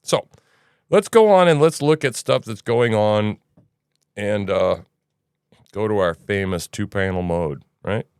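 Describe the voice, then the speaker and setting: A man's voice: on the low side, unhurried, pauses often, darkish tone, clean tone, dry recording, studio quality. A man hosting a casual news commentary podcast, rambling and opinionated, with sarcastic asides. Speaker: podcast host.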